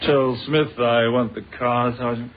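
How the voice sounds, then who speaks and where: A man's voice: medium pitch, steady tone, slight background noise, lightly acted. Casting: male actor as patient, polite British detective inspector, vintage radio drama style, middle register, boxed-in lo-fi sound. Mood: calm, firm. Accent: British English. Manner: measured, polite, dryly unflappable. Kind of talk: old-fashioned British radio drama acting. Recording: narrow, phone-like old radio recording.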